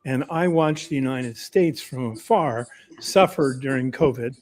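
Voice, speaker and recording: A man's voice: medium pitch, unhurried, a little mellow. A man who makes documentaries, talking earnestly on a video call panel and repeating phrases as he searches for words. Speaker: documentary filmmaker guest. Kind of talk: casual panel talk.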